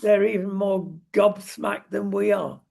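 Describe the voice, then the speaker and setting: A woman's voice: medium pitch, fairly even intonation, unhurried, vocal fry, dark tone. An older woman with a British accent hosting a casual opinion chat in a wry, exclamatory way.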